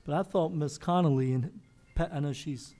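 A man's voice: on the high side, mellow. A man chairing a county council meeting, asking pointed budget questions through a desk mic in a large chamber. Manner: deliberate, questioning. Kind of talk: public meeting discussion.

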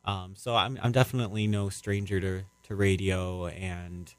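A man's voice: deep voice, flat delivery, moderate pace, no room sound. A young man answering interview questions as a playwright, casually, in a hesitant, rambling and reflective way.

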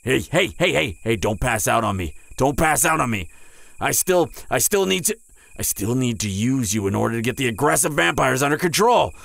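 A man's voice: mid-range voice, lively intonation, a bit bright, dry recording. A man playing a proud, wounded vampire hunter in an audio roleplay, stammering and strained with pain. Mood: panicked, urgent. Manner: stammering, halting, breathy sighs and groans. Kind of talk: intimate audio roleplay acting.

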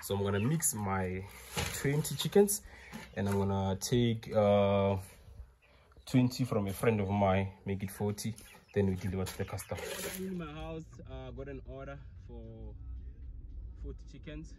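A man's voice: on the low side, normal speed, noisy background. A man in his thirties with a South African accent, a poultry farmer talking straight to viewers in a chatty, joking way as he films himself at work, now and then out of breath from the labour. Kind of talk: casual vlog narration. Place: inside a car and a farm shed. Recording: music playing clearly behind.

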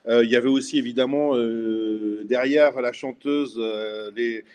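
A man's voice: medium pitch, fairly even intonation, darkish tone, clean tone. Middle-aged man talking eagerly on a video call. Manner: thoughtful, enthusiastic, halting with fillers. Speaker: guest, former local culture official. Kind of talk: casual video call discussion. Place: indoor room at home. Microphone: laptop webcam video call.

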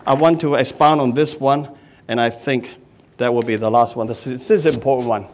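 A middle-aged man preaching at a lectern in a small church, swinging between loud cries and hushed prayer. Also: medium pitch, a little fast. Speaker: pastor. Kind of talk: sermon. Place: small church hall, indoors. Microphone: stand mic at a lectern.

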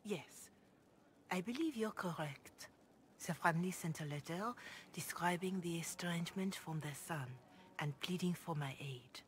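Woman as a low-voiced, grave Chantry mother. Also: natural voice. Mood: grave, cautious. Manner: formal, measured, earnest. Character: grave, devout, compassionate older Chantry mother. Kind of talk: video game dialogue acting. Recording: clear background noise and ambience.

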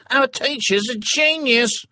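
A woman's voice: sits high, slow, pushed and bright, no room sound, full character voice. A woman voicing a small, fawning, mischievous troll pupil in a cartoonish children's storybook. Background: music.

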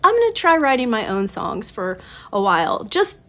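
A woman in her late forties from Southern California, chatting to camera about her songwriting in a casual, animated, self-mocking way, recorded on a phone in a small home studio. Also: on the high side, moderate pace. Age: 40s. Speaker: songwriter vlogger. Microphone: phone camera at desk distance.

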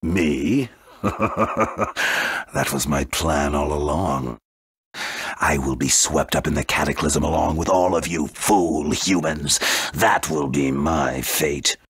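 A man playing a grandiose, menacing villain in a video game, bent on wiping out the world, with a gravelly, theatrical delivery. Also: rumbling low, a bit bright, dry recording, studio quality, exaggerated voice. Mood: exultant menace.

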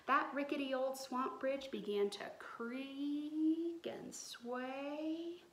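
A woman's voice: sits high, extremely slow, fairly bright tone. A woman in her thirties reading a storybook aloud expressively to children, recorded at home on a device at arm's length. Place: small bright room at home. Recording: roomy echo, some background noise.

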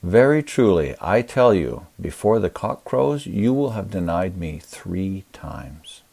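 A man's voice: deep voice, big pitch swings, takes it slowly, creaky, darkish tone. A man reading scripture expressively, with narration and dialogue. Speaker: scripture reader.

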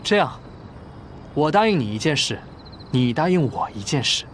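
A young actor playing a bossy, fussy, protective executive in a TV romance drama. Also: male voice, a little high, very animated, normal speed, a little hiss or hum. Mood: firm. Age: young adult.

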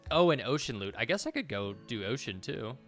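A man's voice: medium pitch, big pitch swings, fairly quick, a bit bright, soft background music. A man in his thirties giving casual let's play commentary, thinking aloud and muttering to himself as he plays. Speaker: gaming video host.

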